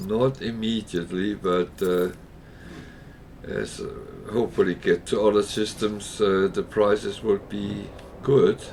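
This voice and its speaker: A man streaming a game, his voice husky and crackling today, thinking aloud with many fillers and pauses. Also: lowish voice, fairly even intonation, darkish tone. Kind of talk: casual gameplay commentary.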